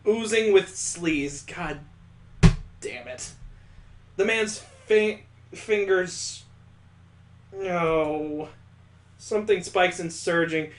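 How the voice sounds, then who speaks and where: A streamer reads a visual novel aloud in a fairly high voice at an unhurried pace. He cuts in with crude, joking asides and his own mock sound effects. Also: slight background noise. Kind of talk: reading a visual novel aloud with jokey commentary.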